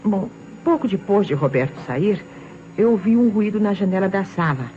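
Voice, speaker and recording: A woman's voice: medium pitch, lively intonation, moderate pace, mellow, slight background noise, close to an everyday voice. Brief: woman playing anxious Brazilian wife under questioning, emphatic, widely swinging intonation, vintage radio play, dull sound. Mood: earnest, nervous. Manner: dramatic, emphatic, earnest. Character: anxious, insistent married woman giving testimony to police. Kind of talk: old Brazilian radio drama acting. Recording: dull vintage radio recording, faint music.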